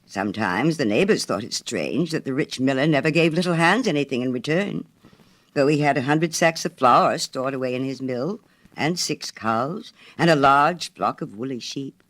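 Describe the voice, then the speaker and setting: A man narrating a children's story from a script as an expressive storyteller. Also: medium pitch, moderate pace.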